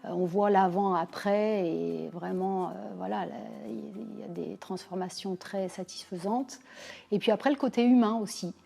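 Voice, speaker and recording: A woman in her forties from Lyon, thoughtfully telling her career story in a measured way with frequent pauses. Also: mid-range voice, gravelly, warm tone, soft background music. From Lyon, France. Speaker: business owner interviewee. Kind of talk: personal career account to camera.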